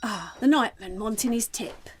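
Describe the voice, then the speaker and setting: A woman's voice: on the high side, normal speed, slight background noise, natural voice. A woman playing a harsh, suspicious asylum matron in an audio drama, scolding and commanding.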